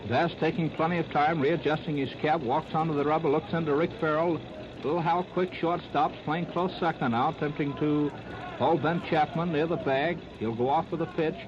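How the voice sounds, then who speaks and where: A man's voice: on the high side, fairly even intonation, normal speed. An American man calling baseball play-by-play in clipped, terse phrases, in the style of 1930s live radio commentary. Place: ballpark broadcast. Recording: noisy, muffled 1930s radio disc recording.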